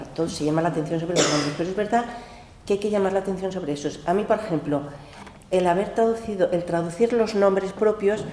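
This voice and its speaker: A woman's voice: lowish voice, warm tone, reverberant, slight background noise. A woman translator talking candidly and with life at a book club, laughing often. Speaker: literary translator.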